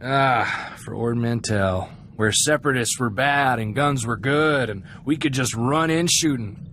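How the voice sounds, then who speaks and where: A man's voice: medium pitch, measured pace, fairly bright tone, lightly acted. An actor playing an earnest, idealistic young freighter crewman in a video game, talking in a folksy, chatty way. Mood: tipsy, nostalgic. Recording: clear background ambient noise.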